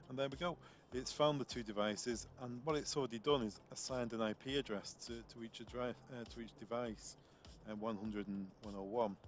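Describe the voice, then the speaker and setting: A man with a medium-pitched voice talks through a technical demonstration one step at a time. He explains without a script, hesitating and repeating words mid-sentence. Faint music plays under his voice. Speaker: product trainer demonstrating intercom software. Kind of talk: unscripted step-by-step software tutorial.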